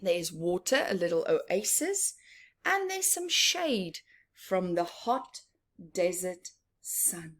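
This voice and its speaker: A woman reading a picture book aloud to young children, interactive and expressive, with a sing-song, questioning manner. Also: fairly low pitch, slow. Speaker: children's story reader and teacher.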